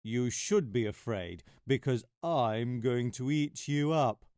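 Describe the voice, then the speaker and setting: A man voicing a big, grumpy male lion who growls slowly. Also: mid-range voice, darkish tone, no room sound, clean studio sound, close to an everyday voice. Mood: threatening. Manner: growling, slow and gruff. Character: huge grumpy male lion, king of the jungle.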